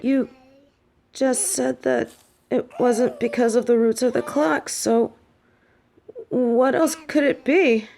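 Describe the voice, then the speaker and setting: A woman's voice: a little high, steady tone, takes it slowly, vocal fry, long pauses, darkish tone. A woman playing an anxious, earnest young witch student in a fantasy audio drama, hesitant and pleading. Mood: confused, hesitant.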